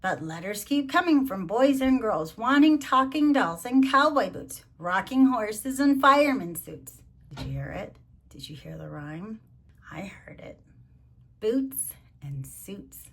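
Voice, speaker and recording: Mature female storyteller, slightly high register; read-along for kids with conversational asides and gentle questions. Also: big pitch swings, measured pace. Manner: addresses child listeners with gentle questions. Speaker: grandmother reading to children.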